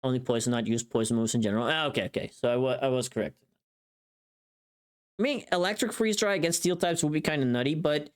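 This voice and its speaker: Streamer, medium pitch, casual chat, rambling, thinking out loud. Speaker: live streamer.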